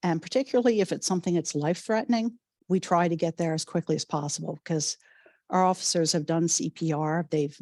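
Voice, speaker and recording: A woman police chief, coming down with a cold, giving a measured, explanatory report at a township meeting. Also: low-pitched, a little mellow, dry recording, clean studio sound.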